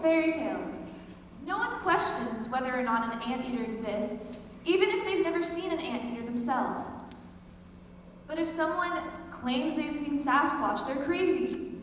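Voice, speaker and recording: A teenage girl, a high school senior, performing a theatrical monologue. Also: sing-song, measured pace, a bit bright. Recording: very echoey hall, distant mic, faint noise.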